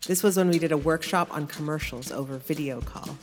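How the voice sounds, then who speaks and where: Female podcast host, slightly low voice, explanatory narration over faint music. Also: fairly quick, in a large hall.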